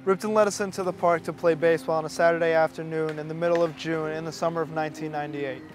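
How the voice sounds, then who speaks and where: A man's voice: fairly high pitch, little pitch movement, quick pace, a little hiss or hum. A male reader giving scripted narration of a written memoir. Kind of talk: scripted narration, audiobook reading. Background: clear music.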